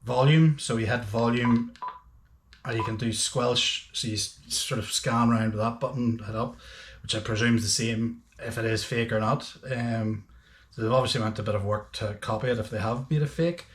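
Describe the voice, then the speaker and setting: A man's voice: medium pitch, steady tone, moderate pace. A man talking casually and a little hesitantly through a hands-on product review, thinking aloud as he goes. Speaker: host of a boating gadget channel.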